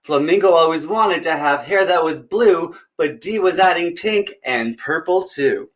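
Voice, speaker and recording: Male children's storyteller, fairly high voice, encouraging, chatty read-aloud style that speaks straight to little kids. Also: expressive, unhurried, a bit bright. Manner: encouraging, talks directly to young listeners. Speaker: children's story time reader.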